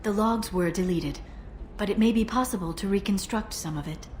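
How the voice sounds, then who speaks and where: Measured actress as a determined female broker. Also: mid-range voice, a little mellow, close to an everyday voice. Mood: focused. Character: composed, determined female alien information broker. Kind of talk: scripted video game dialogue. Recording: noisy ambient background.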